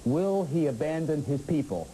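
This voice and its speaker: A man's voice: a little high, very animated, normal speed, mellow, rough recording. A man with an American accent moderating a political panel show in a teasing, mock-serious manner. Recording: hissy old TV broadcast tape.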